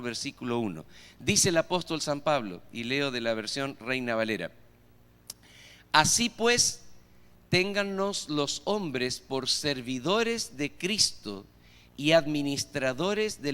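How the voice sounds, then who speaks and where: Argentine guy preaching in a church, voice kinda high, long hall reverb behind him. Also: sharp tone, clear, resonant tone. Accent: Argentine Spanish. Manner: asks the congregation rhetorical questions. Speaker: pastor. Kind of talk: sermon.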